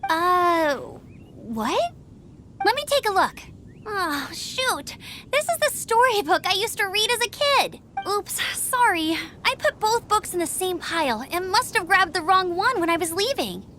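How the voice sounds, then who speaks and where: A peppy young scout. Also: female voice, very high-pitched, sing-song, measured pace, bright, forceful tone, slight background noise, full character voice. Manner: upbeat, encouraging. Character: young female scout, upbeat and encouraging. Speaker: scout and gliding champion. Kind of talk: game cutscene dialogue. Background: game music.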